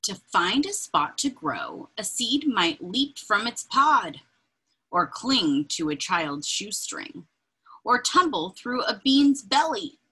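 A woman reading a children's picture book aloud in an expressive, deliberate, breathy way, adding informal asides as she points things out. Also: mid-range voice, poor audio. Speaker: read-aloud reader. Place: indoors, small room with bookshelves.

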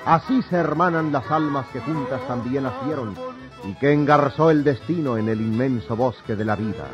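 A man's voice: mid-range voice, normal speed, natural voice. A man narrating a radio drama in a solemn, poetic, scripted style. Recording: dull old radio recording. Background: music.

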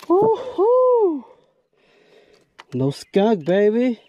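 A man out fishing on a rocky riverbank, with his voice caught by an action camera strapped to his chest. He talks slowly in a mellow tone and mutters to himself between catches. When he lands a fish he breaks into whoops that jump high. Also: wildly swooping pitch, lo-fi sound, slight background noise. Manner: hyped whoops and murmured asides to himself. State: a little out of breath. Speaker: fishing vlogger. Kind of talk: excited outdoor fishing commentary. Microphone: chest-mounted action camera.

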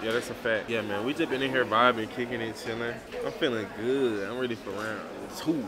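A man's voice: medium pitch, fairly even intonation, fairly quick. A man of twenty-one vlogging from a dim restaurant booth, holding the camera at arm's length and chatting to viewers in loose, joking slang. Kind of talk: casual vlog chat to camera. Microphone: handheld camera at arm's length. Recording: noisy crowded restaurant. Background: restaurant crowd chatter.

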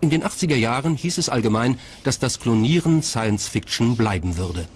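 A man narrates a documentary from a script. His voice sits at a medium pitch. There is some light ambient noise behind him. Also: animated, normal speed, darkish tone.

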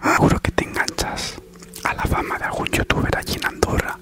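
Whispering Spanish man doing ASMR. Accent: Spain. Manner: slow soothing delivery with mock-reassuring teasing. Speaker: ASMR parody YouTuber. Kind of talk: whispered ASMR comedy monologue. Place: small living room at home, on a sofa.

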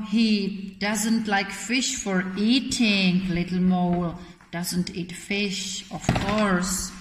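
A woman in her thirties tells a picture-book story to small children in simple, expressive phrases, asking questions as she goes. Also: mid-range voice. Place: indoor children's classroom. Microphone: camera a short distance away. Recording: roomy echo, faint background noise.

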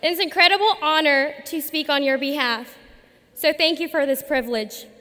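A young woman in her twenties gives a formal graduation speech at a lectern, earnest and measured, with emphatic pauses. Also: high voice, bright, forceful tone. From United States. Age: 20s. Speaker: student commencement speaker. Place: large arena at a lectern. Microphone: podium microphone. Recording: long arena reverb.

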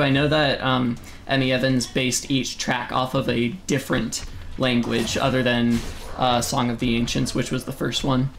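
Young american guy gaming and reading chat, mid-pitch voice, bit of background hiss. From United States. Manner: reads chat aloud, chatty, with sudden loud exclamations. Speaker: streamer. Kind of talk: casual live-stream chat while gaming. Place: home room, seated in desk chair.

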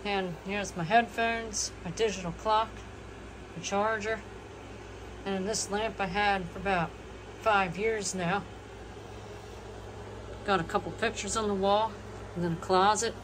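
A woman's voice: mid-range voice, steady tone, a little slow, long pauses, noisy background. Matter-of-fact young woman touring her home. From eastern United States. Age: 20s. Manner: plain, matter-of-fact, listing things as she walks. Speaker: vlogger. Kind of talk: casual house tour. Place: small manufactured home, indoors. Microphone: phone camera, front-facing then walking.

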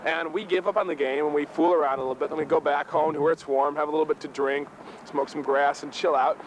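A young man with a high voice tells a loose, amused story straight to the camera, breaking into laughter again and again. He was recorded outdoors on an old camera mic, with steady noise behind him. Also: fast talker, rough recording.

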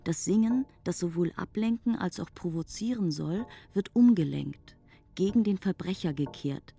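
A woman's voice: on the low side, animated, moderate pace, vocal fry, dark tone. A woman reading scripted, essayistic radio narration. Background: music.

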